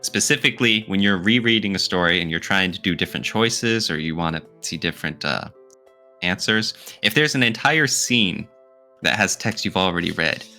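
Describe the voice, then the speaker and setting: Male podcast co-host, lowish pitch, crisp forward brightness, casual banter, teasing and argumentative. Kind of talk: casual, joking opinion talk.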